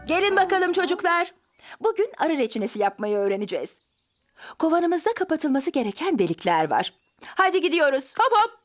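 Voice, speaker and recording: A woman's voice: high-pitched, very animated, a little fast. A woman voicing a kindly, orderly bee schoolteacher addressing pupils in a children's cartoon. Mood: brisk, encouraging. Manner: instructive, exclamatory, addressing pupils. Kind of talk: dubbed children's cartoon acting. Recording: music behind the voice. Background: music.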